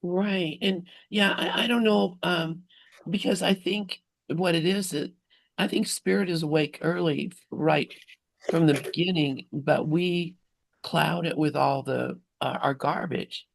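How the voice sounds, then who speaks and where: Guy musing in a spiritual discussion group, creaky voice, dry close sound, kinda halting. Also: fairly high pitch, fairly even intonation, no room sound. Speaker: study group participant. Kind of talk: informal reflective spiritual discussion.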